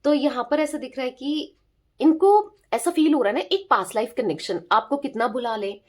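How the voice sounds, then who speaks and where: Hoarse woman giving a chatty tarot reading. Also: high voice, expressive, normal speed, a little mellow. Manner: chatty, keeps checking in with listeners. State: hoarse, sore throat. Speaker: tarot reader. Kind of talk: casual tarot reading to viewers.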